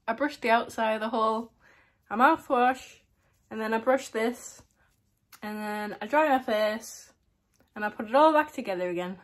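A young woman with a slight lisp, chatting casually to camera in a small room, giggling now and then. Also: a little high. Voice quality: slight lisp from a lip plate. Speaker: vlogger.

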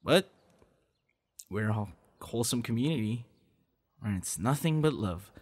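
A young man playing a playful, affectionate boyfriend in an ASMR audio roleplay, with a teasing, encouraging, slightly halting delivery. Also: mid-range voice, expressive, close to an everyday voice. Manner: teasing, encouraging, halting with soft laughs and gasps. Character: playful, affectionate young male voice actor on a date.

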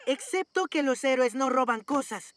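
High-pitched decisive cartoon boy hero. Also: animated, normal speed, noisy background. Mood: suspicious. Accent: Latin American Spanish. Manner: decisive, urgent. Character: decisive six-year-old boy cat superhero. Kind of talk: children's cartoon dub.

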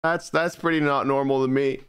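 A man's voice: medium pitch, steady tone, normal speed, clean tone. A young man streaming a horror game, giggling and making wry comments back at the screen.

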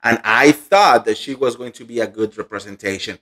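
A man dishes celebrity gossip with animated indignation, repeating words for emphasis. He talks straight into a close studio mic in a room at home. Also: medium pitch, fairly quick. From Colombia. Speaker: pop culture gossip host. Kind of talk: gossip commentary vlog. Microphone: studio microphone on boom arm.

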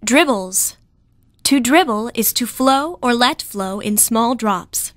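A woman narrates educational material in a fairly high voice. She reads slowly and carefully, as for a vocabulary lesson, and the sound is dry and close. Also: lively intonation, dry recording.

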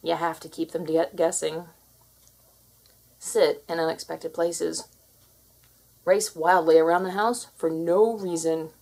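A woman's voice: on the low side, steady tone, slow, vocal fry, pauses often, a little mellow, heavily acted. A woman voicing a confident, bossy alpha cat who lectures kittens, in a theatrical storybook read-aloud style. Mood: sly, instructive.

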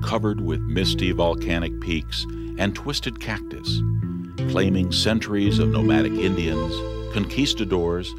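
A man giving scripted 1970s documentary narration with a dramatic, sweeping delivery. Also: deep voice. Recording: voice-over under loud music.

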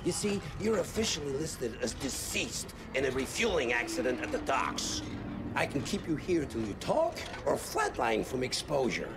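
An actor playing a menacing, mock-polite interrogator with a gravelly voice in a video game cutscene. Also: male voice, medium pitch, huge pitch swings, normal speed. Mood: smug, menacing. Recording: clear noise behind the voice.

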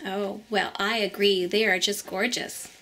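A woman's voice: mid-range voice, little pitch movement, unhurried, pushed and bright, a little hiss or hum. A woman voicing a kindly ice queen who runs a beauty salon, effusive and encouraging in doll play for children. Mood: gushing delight.

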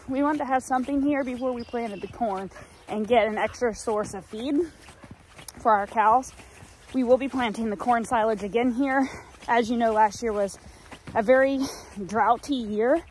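A woman's voice: fairly high pitch, a little hiss or hum. Woman farmer, 30s, casual vlog to a handheld phone outdoors; chatty, rambling, a little worn out. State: sore and tired. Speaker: farmer vlogger. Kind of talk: casual vlog chat to camera. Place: outdoors on a farm, by fields and a cattle barn. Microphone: phone held at arm's length.

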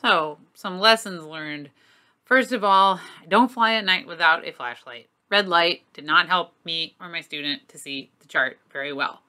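A woman's voice: lowish voice, moderate pace, bright, forceful tone. A middle-aged woman giving an informal, emphatic and candid lesson to camera as a flight instructor. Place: small home office, indoors.

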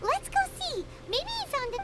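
A woman's voice: sing-song, moderate pace, background noise. A woman voicing a tiny, childlike fairy companion in a fantasy game, perky, blunt and cute. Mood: bossy, excitable. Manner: squeaky, cute, third-person self-reference. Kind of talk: scripted fantasy game dialogue. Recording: loud background music.

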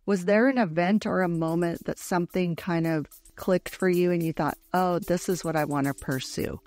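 A woman's voice: fairly low pitch, normal speed, darkish tone, faint music behind. A middle-aged woman hosting a podcast in a studio, chatting casually, affirming her guest and steering with questions. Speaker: podcast host. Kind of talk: casual interview chat. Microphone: headphones and studio microphone.

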